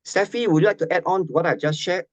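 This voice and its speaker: A man's voice: high-pitched, quick pace, no echo. Methodical man, dry sound. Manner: methodical, listing points. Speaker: financial specialist. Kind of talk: webinar presentation.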